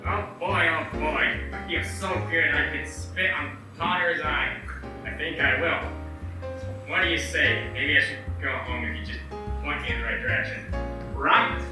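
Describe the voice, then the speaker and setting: A man's voice: a little high, a little slow, over music, natural voice. An actor in a community radio play voicing George, a restless young man who jokes and banters with his family. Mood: tipsy. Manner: lively, bantering, shifts to subdued. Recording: echoey room from a distance.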